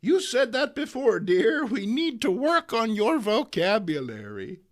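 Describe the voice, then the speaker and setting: A man's voice: sits high, big pitch swings, measured pace, voice pushed up. A man voicing a feisty, righteous older woman with old-fashioned, exclamatory talk in a comic book reading. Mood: kindly, determined. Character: feisty, righteous old woman crusader, sweet but indignant.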